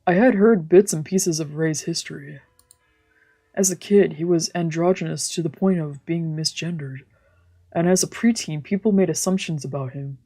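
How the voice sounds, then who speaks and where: A woman's voice: low voice, moderate pace, mellow. A woman streamer reading visual novel narration aloud, now and then stumbling over her words. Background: game music.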